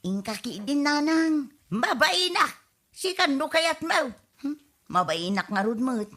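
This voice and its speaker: A woman's voice: sits high, very animated, unhurried, fairly bright tone, full character voice. A woman acting a teasing, insistent village mother in an Ilocano radio comedy drama. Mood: nagging.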